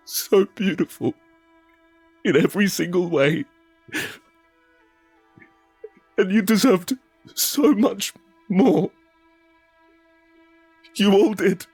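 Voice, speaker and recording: An actor playing a guilt-ridden survivor in an audio drama, speaking in halting, intense first person. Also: male voice, sits high, a little mellow, husky, full character voice. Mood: anguished, longing. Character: grief-stricken guilt-ridden male survivor, narrating his ordeal.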